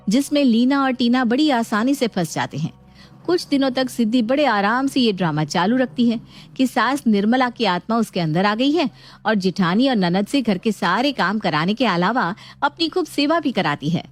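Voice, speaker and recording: A woman narrating a scripted moral story as a storyteller. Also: on the high side. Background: music.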